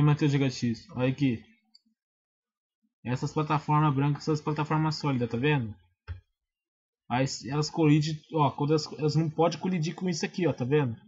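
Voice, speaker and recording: A Brazilian man giving a casual, informal screen-recorded software tutorial, often checking that the listener follows. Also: a little high, slightly rough, lo-fi sound. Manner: informal, repetitive check-in phrases. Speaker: tutorial maker. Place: indoors at a computer.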